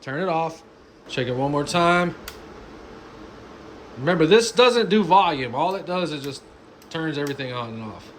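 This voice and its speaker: Higher-voiced man, casual DIY host, noisy recording. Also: lively intonation, moderate pace, pauses often. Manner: informal, colloquial, plain-spoken. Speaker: DIY car audio video host. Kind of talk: casual how-to narration. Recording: clearly noisy background.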